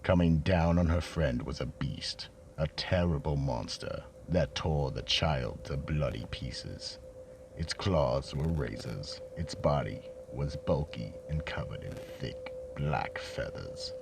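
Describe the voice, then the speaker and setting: Man playing a very deep, gravelly horror narrator. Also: music in the background, full character voice. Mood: ominous. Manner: slow, deliberate, ominous. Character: ominous male narrator of a horror tale. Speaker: narrator and announcer. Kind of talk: dramatic horror radio narration.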